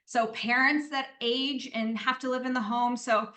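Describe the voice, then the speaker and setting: A woman's voice: a little high, steady tone, a little slow, sharp tone, roomy sound. A woman, a podcast guest, talking at length in a casual interview. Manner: talkative, explains at length.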